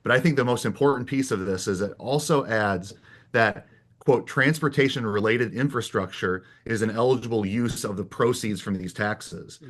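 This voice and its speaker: A man who is a guest on a political panel podcast, calmly explaining the details of a bill. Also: on the low side, normal speed. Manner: analytical, explains policy detail.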